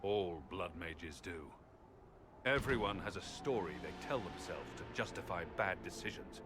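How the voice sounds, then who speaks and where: A cynical hardened companion. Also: male voice, deep voice, lively intonation, measured pace, music in the background. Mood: grim.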